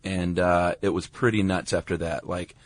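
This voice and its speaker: Deep-voiced American man, casual podcast co-host. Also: level tone, moderate pace, no room sound. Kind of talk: casual conversational banter.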